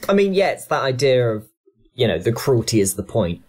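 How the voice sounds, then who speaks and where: A man's voice: medium pitch, very animated, a little fast, a little mellow. A man talking reflectively and analytically as a panellist on a discussion podcast. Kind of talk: discussion and review podcast talk.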